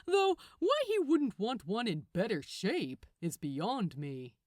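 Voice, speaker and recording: A smug, mocking male archangel from an audiobook story, played by a woman. He talks down to his listener with theatrical relish. The pitch swings freely and the sound is close and dry. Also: big pitch swings, slow pace, no room sound. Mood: gloating. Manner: condescending, chuckling, theatrical. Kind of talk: acted audiobook dialogue.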